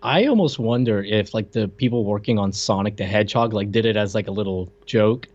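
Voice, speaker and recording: A man's voice: lowish voice, fairly quick, darkish tone. A man co-hosting a podcast, bantering casually about films, on slightly dull, lo-fi audio. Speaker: podcast co-host.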